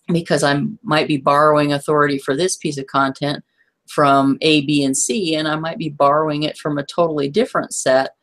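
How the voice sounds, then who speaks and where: A hoarse woman presenting in a webinar, walking listeners through a chart and checking that they follow. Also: low voice. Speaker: guest presenter.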